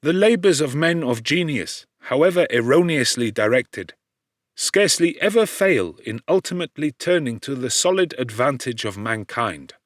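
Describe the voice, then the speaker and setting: A man's voice: mid-range voice, expressive, moderate pace, no room sound, clean studio sound, natural voice. A man voicing an eloquent, kindly chemistry professor in formal, lecturing tones for an audiobook. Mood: measured, gracious. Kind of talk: audiobook character voice.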